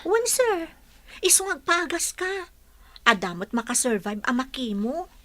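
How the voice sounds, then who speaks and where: A woman's voice: high voice, very animated, measured pace, pushed and bright, full character voice. An actress playing a caring, persistent female caregiver in a radio drama, speaking respectfully. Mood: gently coaxing. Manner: pleading, respectful. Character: caring, persistent young female caregiver coaxing her patient. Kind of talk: Ilocano radio drama acting.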